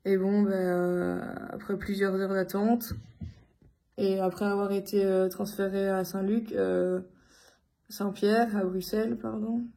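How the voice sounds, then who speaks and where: A woman from Belgium giving a casual personal account to her followers, speaking in a halting way with many filled pauses. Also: mid-range voice, level tone, creaky, warm tone. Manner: hesitant, halting delivery with frequent long filled pauses. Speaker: vlogger addressing followers.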